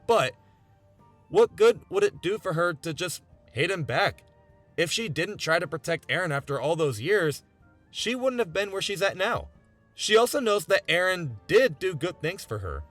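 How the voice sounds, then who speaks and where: A man's voice: a little high, fairly bright tone. A man in his twenties narrating a scripted video essay in an earnest, emphatic way, often posing rhetorical questions. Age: 20s. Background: background music.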